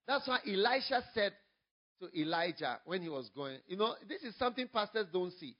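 A man with a Ghanaian English accent, a pastor preaching a sermon, moving from quiet storytelling to loud, emphatic preaching. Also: high-pitched, lively intonation, a little fast, muffled recording.

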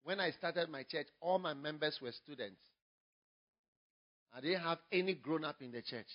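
Ghanaian pastor guy preaching, kinda high voice, quiet stories then big loud points, muffled lo-fi recording. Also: moderate pace. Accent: Ghanaian English. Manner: builds from quiet storytelling to loud emphatic preaching. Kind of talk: sermon.

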